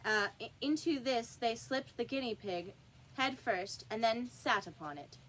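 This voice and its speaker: A woman's voice: fairly high pitch, unhurried, a bit bright, slight background noise, poor audio. A woman reading a classic story aloud as scripted audiobook narration. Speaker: audiobook reader.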